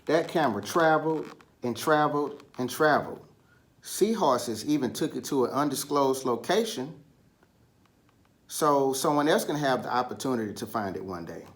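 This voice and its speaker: A man's voice: mid-range voice, expressive, normal speed. Man reading stories aloud to children. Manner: addresses children directly with questions. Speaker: children's story reader. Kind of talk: storytelling read-aloud for children.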